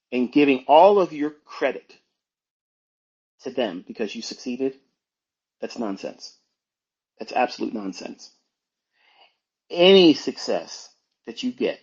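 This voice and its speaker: Male educator, informal monologue. Husky, emphatic, admonishing delivery with repeated phrases, on a dull lo-fi recording. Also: mid-range voice. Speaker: trading educator hosting an online audio talk. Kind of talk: informal unscripted monologue, lecturing.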